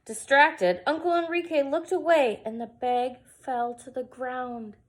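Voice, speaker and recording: A woman's voice: high-pitched, a little slow. A woman reading a picture book aloud to young children, expressively and with casual asides. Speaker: preschool storyteller.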